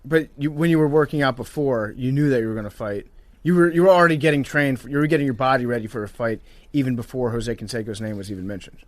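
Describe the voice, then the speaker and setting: A man talks as a podcast co-host in loose, joking conversation. His delivery is halting, with phrases repeated, and the sound is close and dry with an average pitch. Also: no echo. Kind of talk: casual comedic chat.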